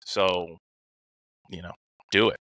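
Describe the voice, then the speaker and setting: A man's voice: low-pitched, steady tone, takes it slowly, pushed and bright, no echo. A man co-hosting a podcast over a remote call, telling stories casually and acting out his inner dialogue animatedly. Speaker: podcast co-host. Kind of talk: casual podcast chat, anecdotal storytelling.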